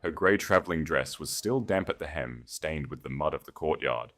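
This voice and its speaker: A man's voice: very deep voice, expressive, moderate pace, fairly bright tone, soft background music. A man reading a scripted romance audiobook in a solemn, dramatic way. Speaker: narrator.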